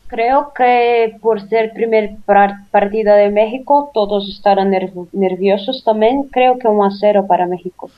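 A woman from Brazil with a Brazilian accent, a Portuguese speaker, who is a guest on a radio panel and talks carefully in halting second-language speech. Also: mid-range voice, lo-fi sound. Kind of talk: casual radio panel chat.

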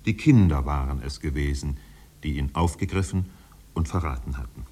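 An actor playing a solemn literary storyteller, narrating a 1950s German radio drama in a measured, dramatic manner. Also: male voice, mellow. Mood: measured, wistful. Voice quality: deep, husky.

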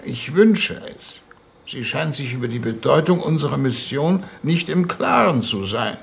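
An actor playing a solemn, pompous statesman on a diplomatic mission in a 1950s radio play, speaking formally and haltingly. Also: on the high side, very animated, vocal fry. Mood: stern, urgent. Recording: noisy 1950s radio recording.

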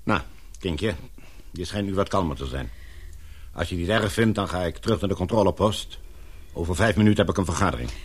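A middle-aged man playing a concerned father in authority in a radio drama, coaxing and questioning in a husky voice. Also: low voice, brisk, long pauses. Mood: soothing.

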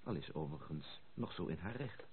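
A gallant young gentleman suitor in a radio drama. His polite, teasing speech swings widely in pitch. The old recording is thin and tinny, with faint noise. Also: expressive, a little fast. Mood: earnest. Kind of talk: Dutch radio play acting.

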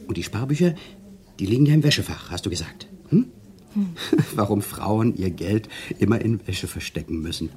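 A seductive swindler played by a man in a radio drama. His voice sits low and swings widely in melody as he coaxes and pleads, intimate and full of self-pity. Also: lively intonation, normal speed, darkish tone, lightly acted. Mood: scheming, coaxing. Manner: coaxing, intimate, theatrical. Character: smooth, self-pitying seductive conman and ex-convict. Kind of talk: German radio drama acting.

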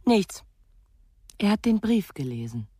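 An actress playing a guarded, curt aunt in restrained radio play dialogue. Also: female voice, mid-range voice, very animated, a little slow, warm tone, no echo. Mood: defensive. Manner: terse, guarded.

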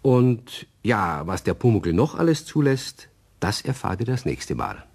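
A man's voice: on the low side, big pitch swings, unhurried, darkish tone, slightly rough. A man narrating a scripted children's radio play, addressing young listeners as a storyteller.

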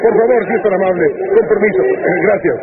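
Man doing excited male announcer, high voice, polite breathless commentary, old radio drama, loud hiss. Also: quick pace, natural voice. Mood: eager. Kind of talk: 1960s radio drama acting. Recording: tinny 1960s radio recording with loud hiss.

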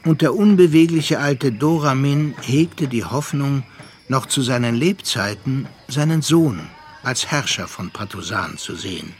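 An actor playing a reflective storyteller, narrating a literary radio drama in a measured, articulate manner. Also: male voice, mid-range voice, expressive, natural voice. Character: reflective male storyteller recounting a colonial adventure. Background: prominent music.

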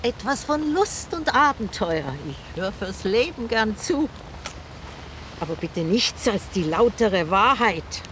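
A woman's voice: mid-range voice, sing-song, slow pace, fairly bright tone, slight background noise. An actress in a radio drama playing a talkative old river boatwoman with a wry, chatty, teasing manner. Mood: wistful, playful. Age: older.

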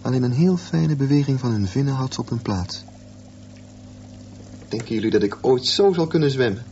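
Radio drama lead: dreamy, wondering teenage boy played by a man, unhurried musing delivery, muffled audio with clear noise. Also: medium pitch, lively intonation, lightly acted. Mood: eager. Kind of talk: radio drama acting, reflective monologue. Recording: dull, noisy old radio recording.

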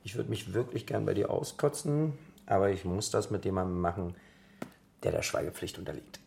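A male actor playing a tired, guarded politician at home with a partner in a naturalistic radio drama, in low-key clipped replies. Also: expressive, a little fast, gravelly, a little mellow, a little hiss or hum.

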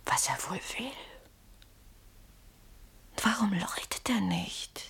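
A woman's voice: mid-range voice, big pitch swings, slow, gravelly, a bit bright, slightly rough, heavily acted. An actress playing a worried, sceptical woman in a radio play, questioning and reasoning, often close to a whisper. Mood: uneasy. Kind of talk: German radio play acting.

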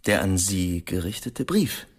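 Low-voiced police inspector. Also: male voice, big pitch swings, measured pace, breathy edge, full character voice. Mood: composed, businesslike. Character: composed male police inspector. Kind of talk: German radio crime drama acting.

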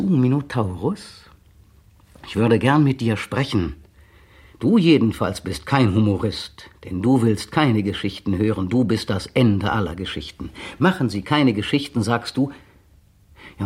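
A man's voice: on the low side, darkish tone, breathy edge, exaggerated voice. An actor playing a bitter, sardonic man who mockingly taunts his young partner in a 1960s radio drama. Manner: mocking, sardonic and wildly shifting. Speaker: male lead in a radio play.

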